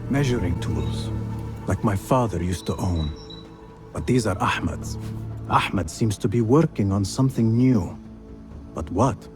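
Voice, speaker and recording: Video game lead: young male assassin, wry, observant, animated intonation, music underneath. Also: fairly low pitch, unhurried, long pauses. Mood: pensive, reserved.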